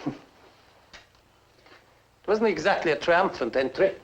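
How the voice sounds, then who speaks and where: An actor playing a bitter fugitive inventor who taunts theatrically, in a 1950s film style. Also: male voice, fairly high pitch, expressive. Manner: sardonic, theatrical, drawn-out taunting. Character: bitter, gloating fugitive inventor, a mocking villain. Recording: muffled, noisy 1950s film soundtrack.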